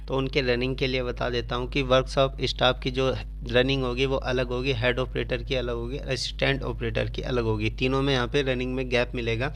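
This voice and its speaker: A man's voice: mid-range voice, a bit bright. A man presenting an informational exam update to students, explaining in repetitive phrasing with English terms mixed in. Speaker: host of an exam coaching channel.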